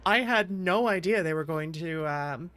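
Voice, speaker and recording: A woman's voice: lowish voice, unhurried, fairly bright tone. A woman from the American Midwest giving chatty, casual gameplay commentary, reacting aloud as she plays. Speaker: game streamer.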